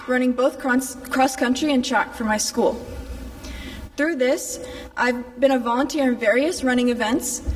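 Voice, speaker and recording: A teenage girl from Sweden reads her speech in an earnest, steady way. Her voice sits a little high. She is picked up from some distance in a hall with a long echo. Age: teens. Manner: earnest, steady recited delivery. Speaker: Lucia court candidate. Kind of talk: prepared selection speech. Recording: echoey hall, heard from afar.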